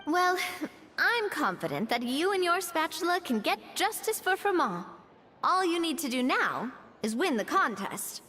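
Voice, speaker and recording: An upbeat young heroine, high and lively in her melody, reassuring her friends with a light teasing edge. Her lines echo with long reverb and faint background ambience. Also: a little slow, slight background noise, full character voice. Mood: encouraging.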